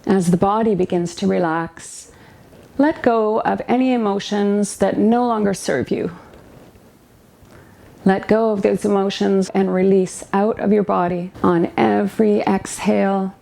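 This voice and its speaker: A woman leading a guided yoga nidra meditation as a relaxation guide, giving soothing, gentle instructions with pauses between phrases. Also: medium pitch, steady tone, vocal fry.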